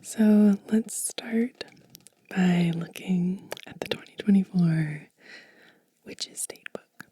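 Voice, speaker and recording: A woman whispering softly and breathily in a gentle, unhurried, soothing ASMR show and tell. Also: fairly low pitch, husky, dry recording. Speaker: host of a witchcraft ASMR channel.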